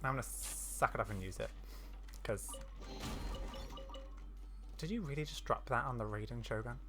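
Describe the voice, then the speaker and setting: A woman's voice: extremely low pitch, normal speed, music in the background. A woman giving casual gameplay commentary, muttering reactions and repeating phrases to herself as she plays. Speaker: gaming streamer.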